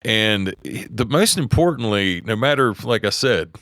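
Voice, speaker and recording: A low-voiced man with a West Virginia accent talks politics on a podcast, swearing freely and breaking off to restart his thoughts. His voice is clean and smooth, recorded close on a dry mic with no room sound. He sounds middle-aged and argues hard. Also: lively intonation, a bit bright, dry recording. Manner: heated, profane, halting with restarts. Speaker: podcast co-host. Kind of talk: casual opinionated chat.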